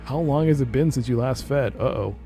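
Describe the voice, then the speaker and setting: A man's voice: mid-range voice, a little fast, dark tone, faint music behind. A man streaming a game, reading its narration aloud and adding casual commentary.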